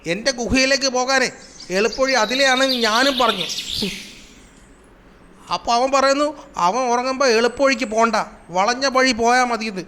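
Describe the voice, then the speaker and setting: High-pitched, grumbling cartoon trickster animal. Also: male voice, normal speed, long pauses, noisy background. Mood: grumbling, indignant. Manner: animated, exasperated, explains schemes with sound words. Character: cunning, grumbling trickster animal, a scheming jungle schemer. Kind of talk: Malayalam children's cartoon comedy acting.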